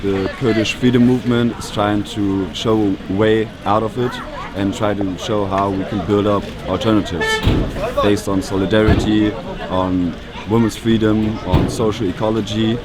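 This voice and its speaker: A man's voice: lowish voice, fairly even intonation, moderate pace, a loud crowd. A young German man with a German accent, earnestly explaining why he joined a protest march. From northern Germany. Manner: earnest, explanatory, loose with fillers. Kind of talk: street interview. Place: outdoors on a city street. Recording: loud street noise behind.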